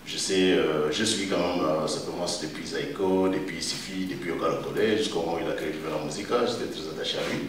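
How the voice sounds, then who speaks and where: Middle-aged Congolese-accented man, low voice, halting public thank-you speech, very echoey distant recording. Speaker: event speaker giving a tribute. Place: event hall with faint room noise. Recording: echoey hall, distant mic, faint noise.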